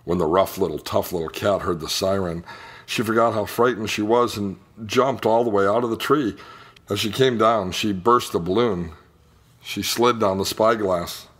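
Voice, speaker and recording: A man reading a children's picture book aloud in an expressive storytelling style. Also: fairly low pitch, normal speed. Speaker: storybook reader.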